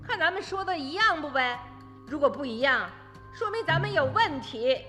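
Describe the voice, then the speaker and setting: A woman's voice: sits high, expressive, moderate pace, pushed and bright, long reverb tail, soft background music. A woman playing a proud, outspoken farmer's wife in a radio drama, with a pointed way of talking. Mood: indignant. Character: sharp-tongued, proud farmer's wife, daughter-in-law.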